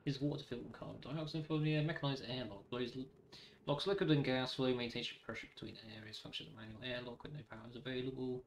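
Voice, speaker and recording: A man's voice: medium pitch, steady tone, quick pace, gravelly, slight background noise. A man giving casual gameplay commentary, thinking aloud in a rambling way and reading game text out. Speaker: gaming video host. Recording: roomy echo, faint music behind.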